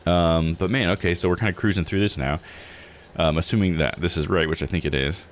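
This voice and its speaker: A man's voice: deep voice, fairly even intonation, a little fast, a little hiss or hum. A man hosting a livestream of a video game, thinking aloud in casual, slangy commentary. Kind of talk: casual gaming stream commentary.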